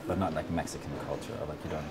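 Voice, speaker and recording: A man's voice: rumbling low, steady tone, rapid-fire, background noise. A man interviewing actors at a film festival, asking long questions padded with fillers.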